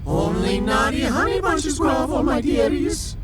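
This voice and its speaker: A man's voice: very high voice, animated, unhurried. A man voicing a giant female alien caterpillar in a sci-fi audio drama, menacing and theatrical, cooing sweet endearments amid threats. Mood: gleeful menace. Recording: loud music behind.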